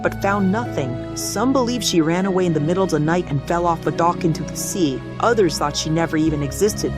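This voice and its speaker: A man voicing a kindly steam engine in a cartoon dub, telling an old legend in a measured, earnest way. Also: high-pitched, natural voice. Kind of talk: comic dub cartoon storytelling.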